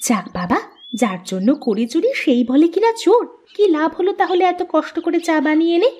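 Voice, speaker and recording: A woman playing a put-upon young daughter-in-law in a cartoon, expressive and sharp-tongued, prone to sarcastic complaint. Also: very high-pitched, brisk. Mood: aggrieved, sharp. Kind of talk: cartoon voice acting, fairy-tale drama.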